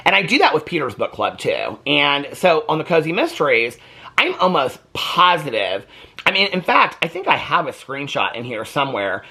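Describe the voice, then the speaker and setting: A man's voice: on the high side, wildly swooping pitch, normal speed, sharp tone, husky. A man chatting casually and unscripted to viewers as a book vlogger, rambling along with emphatic retellings and quoted asides. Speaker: book vlogger and book club host.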